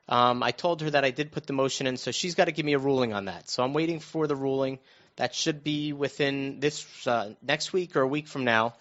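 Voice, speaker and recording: A muffled, lo-fi recording of an American man in middle age with a slightly high voice. He chats casually as a show panelist, recounting things in a halting way with repeated restarts. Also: a bit bright. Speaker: radio show panelist recounting his own news. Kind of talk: casual conversational storytelling. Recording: dull, lo-fi muffled sound.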